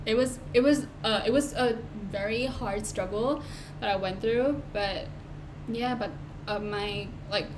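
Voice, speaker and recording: Casting: eighteen-year-old woman, mid-pitched, rambling unscripted vlog talk, lots of fillers, audible background noise. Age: late teens. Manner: rambling, many "like" and "um" fillers, restarts. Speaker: vlogger. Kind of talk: unscripted casual talk to camera. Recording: noisy home recording.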